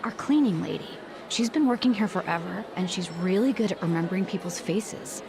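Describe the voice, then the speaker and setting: Friendly worker, noisy ambience. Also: female voice, on the low side, fairly quick, gravelly. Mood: helpful. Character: friendly, sympathetic female shop worker. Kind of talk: video game cutscene acting.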